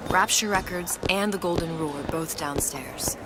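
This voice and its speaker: A woman's voice: lowish voice, normal speed, lightly acted, low-quality recording. An actress playing a poised, worldly young woman in a noir video game, self-possessed in manner. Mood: cool, businesslike. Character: poised, cool, worldly young femme fatale. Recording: loud music under the voice.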